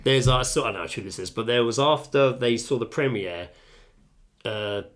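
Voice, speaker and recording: A man's voice: medium pitch, normal speed, a bit bright. A man co-hosting a podcast, chatting casually about films around a kitchen table, swearing freely. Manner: sweary, laid-back film chatter. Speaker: podcast co-host. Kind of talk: casual chat. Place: home kitchen.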